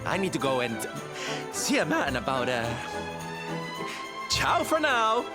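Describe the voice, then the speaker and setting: Flamboyant theatrical performer, voice all over the place, big game music behind. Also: male voice, on the high side, huge pitch swings, slow pace, full character voice. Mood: breezy, evasive. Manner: camp, flourishing. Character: flamboyant, theatrical showman adventurer. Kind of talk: video game cutscene acting.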